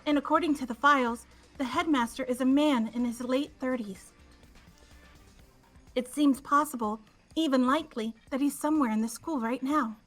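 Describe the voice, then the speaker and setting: A woman voicing a polite, precise computer program in a fan-voiced visual novel, softly explaining things in a measured way. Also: sits high, faint music behind, low-quality recording. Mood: quietly analytical.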